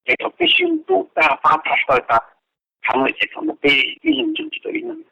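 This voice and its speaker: A man's voice: very high-pitched, wildly swooping pitch, brisk, gravelly, sharp tone. A man speaking conversationally as a participant on a telephone conference call. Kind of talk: conversational speech on a group call. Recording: dull, lo-fi telephone conference line.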